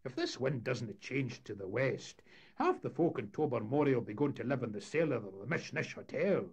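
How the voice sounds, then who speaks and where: A man voicing a gloomy sailor who speaks in a blunt, doom-laden way, raised above his own pitch. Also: very animated, moderate pace, vocal fry, slightly rough, highs cut off, full character voice. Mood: grim.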